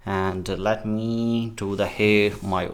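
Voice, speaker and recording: A man giving a casual, somewhat halting product demo as a gadget reviewer. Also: on the low side, fairly even intonation, smooth.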